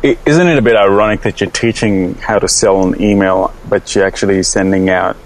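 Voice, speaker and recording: A man's voice: fairly low pitch, a little fast, slight background noise, poor audio. A man interviewing a guest in a conversational way, feeling his way through his questions as host.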